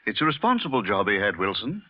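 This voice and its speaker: Deep, pompous radio boss. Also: male voice, sing-song, fast talker. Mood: patronising, brisk. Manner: solemn, pompous, paternal. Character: pompous, patronising male company boss. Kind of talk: old-time radio drama acting. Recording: muffled old radio broadcast recording.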